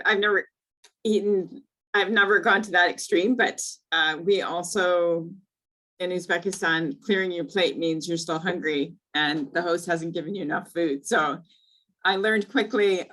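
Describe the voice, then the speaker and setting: A woman's voice: mid-range voice, fairly bright tone, low-quality recording. A woman moderating an online panel over a video call, chatty and friendly with frequent fillers.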